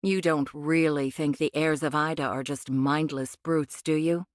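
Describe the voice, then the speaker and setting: An actress playing a condescending cyborg angel of a rebel faction in an anime game, speaking in a measured, cryptic way. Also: female voice, low-pitched, steady tone, dry recording, studio quality. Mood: condescending, knowing. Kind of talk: anime game voice acting, expository dialogue.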